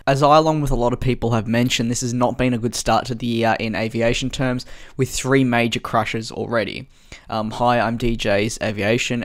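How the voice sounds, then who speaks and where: A man presents as a channel host in a semi-scripted news style. His voice sits at a medium pitch. He explains things earnestly and in a measured, orderly way.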